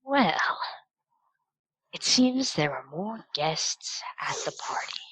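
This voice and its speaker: A woman voicing a proud, bitter androgynous half-elf villain in a tabletop game, speaking theatrically with deliberate pauses and breathy dips into whispers. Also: fairly low pitch, big pitch swings, a bit bright, no room sound, heavily acted, low-quality recording. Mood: cold, triumphant. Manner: slow deliberate pauses, disdainful monologuing.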